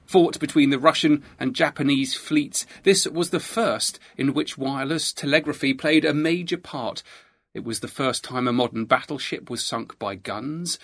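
Podcast host, male, mid-pitched, emphatic and enthusiastic, recorded close and dry. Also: normal speed, a bit bright, dry recording. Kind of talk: podcast introduction and interviewing.

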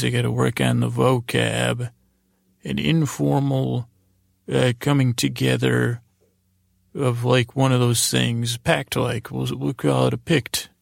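An American man hosting a bedtime podcast in a gravelly, creaky voice, with a soothing, meandering, halting delivery full of stammered restarts. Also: mid-range voice, no room sound, clean studio sound. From United States. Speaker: podcast host. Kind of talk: rambling bedtime-story podcast intro.

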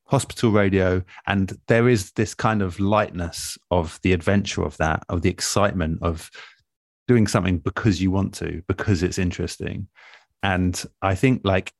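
Deep-voiced man giving thoughtful advice. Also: steady tone, moderate pace, creaky, no echo, clean studio sound. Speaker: interview guest, audio drama producer. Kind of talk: relaxed advice-giving conversation.

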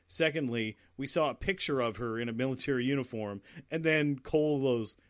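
A man's voice: medium pitch, brisk, no echo, studio quality. A man co-hosting a casual movie review chat, blunt and laughing often, swearing freely. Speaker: movie review podcast co-host.

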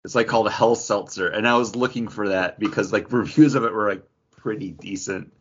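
A man chatting casually as a podcast co-host, talking in halting bursts full of filler words. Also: fairly low pitch, fairly bright tone, husky, dull audio. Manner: halting, peppered with "like". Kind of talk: casual chat.

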